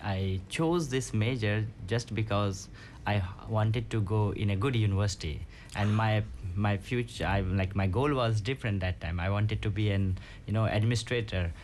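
A man from India with an Indian accent, a Hindi speaker, telling a panel about his career with frequent pauses and fillers. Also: lowish voice, a little mellow, a little hiss or hum. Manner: hesitant, many fillers, chuckles often. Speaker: guest, Korean language scholar. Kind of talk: panel talk.

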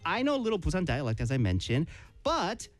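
영어와 한국어를 오가며 활기차게 이야기하는 여자 라디오 진행자. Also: female voice, fairly low pitch, very slow, no echo, faint music behind. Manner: switches between English and Korean, lively. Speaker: radio host. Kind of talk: bilingual radio chat.

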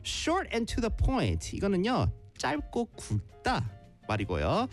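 영어와 한국어를 오가며 활기차게 이야기하는 여자 라디오 진행자. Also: female voice, mid-range voice, slow pace, soft background music. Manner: switches between English and Korean, lively. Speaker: radio host. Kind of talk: bilingual radio chat.

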